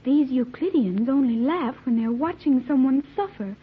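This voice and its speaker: A woman's voice: high voice, expressive, moderate pace, husky, exaggerated voice. A woman playing a naive, earnest island-raised girl in an old-time radio serial, speaking in precise, stilted, formal sentences. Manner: stilted, precise, formal diction without slang. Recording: tinny, band-limited old radio recording.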